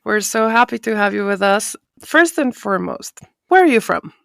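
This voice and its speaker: A woman's voice: mid-range voice, animated, normal speed, creaky, fairly bright tone, no echo, studio quality. A woman hosting an interview podcast, friendly and chatty, with joking asides. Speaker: podcast host.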